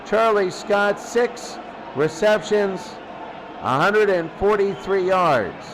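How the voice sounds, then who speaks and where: A man gives off-the-cuff football score commentary as a host. His voice sits high and he goes slowly, in short clipped fragments with pauses, over clear background noise. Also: animated. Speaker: sports studio host.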